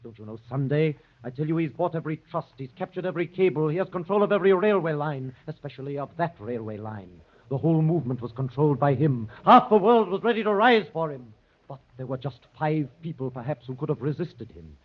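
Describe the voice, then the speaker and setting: A man's voice: on the high side, lively intonation, a little fast. An actor playing a haughty, irascible nobleman in a pompous, blustering 1930s radio drama style. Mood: grave alarm. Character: haughty, irascible male nobleman who is secretly a policeman. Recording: muffled 1930s radio recording.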